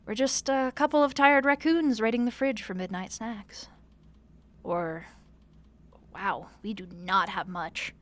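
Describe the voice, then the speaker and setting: A woman playing a tired, wry, sleepless roommate in a soft-spoken audio roleplay, talking casually and haltingly with fond, dry teasing. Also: medium pitch, steady tone, natural voice. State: sleepy, weary.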